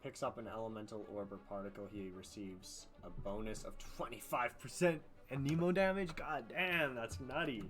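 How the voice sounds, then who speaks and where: A man's voice: medium pitch, moderate pace, hardly any pauses, fairly bright tone. A man doing casual live game commentary, thinking aloud and swearing freely. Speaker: game streamer. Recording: music behind the voice. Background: game music.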